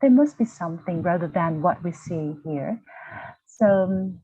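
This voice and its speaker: Slow, hesitant woman on a tinny call. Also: on the low side, expressive, warm tone, slightly rough, no room sound. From Vietnam. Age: 40s. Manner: hesitant, searching for words, frequent restarts. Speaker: guest, university lecturer. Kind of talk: personal story in interview. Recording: tinny, dry video-call audio.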